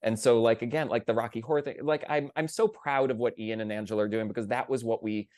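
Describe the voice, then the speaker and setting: A man's voice: medium pitch, fairly even intonation, fast talker, darkish tone, dry recording. A man talks in a casual panel discussion with a mid-range voice and a dry, close sound. He is enthusiastic and tends to wander into side thoughts. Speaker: theatre panelist.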